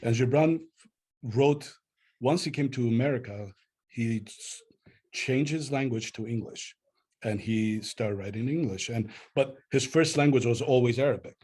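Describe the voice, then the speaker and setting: A man's voice: mid-range voice, measured pace, a little mellow, husky. A man from Lebanon whose first language is Armenian, a poet reflectively answering interview questions. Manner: reflective, thinking aloud with um fillers. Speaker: poet, guest author.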